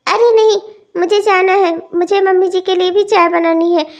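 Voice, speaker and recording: A woman's voice: very high-pitched, fairly even intonation, moderate pace, muffled recording. A woman playing a meek, dutiful young bride, earnest and plaintive, in a melodramatic family story.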